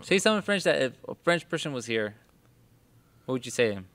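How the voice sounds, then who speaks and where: Bright-voiced chatty male interviewer. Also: medium pitch, big pitch swings, fast talker. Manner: chatty, enthusiastic, laughs often. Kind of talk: casual interview chat.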